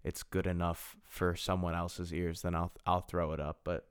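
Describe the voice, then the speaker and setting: Low-voiced young man rambling on his podcast. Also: flat delivery, normal speed, clean tone. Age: 20s. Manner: rambling, self-deprecating, repeats and restarts phrases. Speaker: podcast host. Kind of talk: casual solo podcast monologue.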